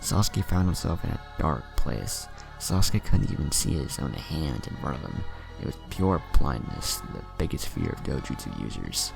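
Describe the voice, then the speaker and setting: A man with a gravelly voice narrating a scripted action story. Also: big pitch swings, moderate pace. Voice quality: very deep, gravelly. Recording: music under the narration. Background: music.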